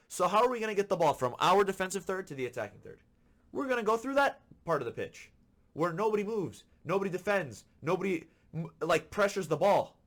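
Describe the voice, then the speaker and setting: A man hosting a football podcast, ranting with frustration and repeating his points for emphasis, a little hoarse from being sick. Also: high voice, fairly bright tone. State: slightly hoarse from illness. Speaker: podcast host. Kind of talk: solo football commentary rant.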